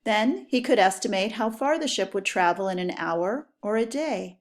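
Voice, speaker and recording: A woman teacher reading educational text aloud for pupils, with clear, deliberate, instructional phrasing. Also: medium pitch, animated.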